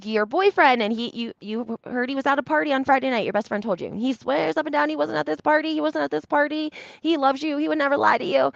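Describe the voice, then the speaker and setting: Gravelly woman chatting, slightly dull audio. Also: high-pitched, quick pace, few pauses, dry recording. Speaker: YouTube host recapping reality TV. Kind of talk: casual gossip commentary, talking to live audience.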